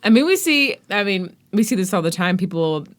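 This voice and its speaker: A woman's voice: mid-range voice, very animated, brisk. A woman, talking casually and conversationally as a podcast host and interviewer.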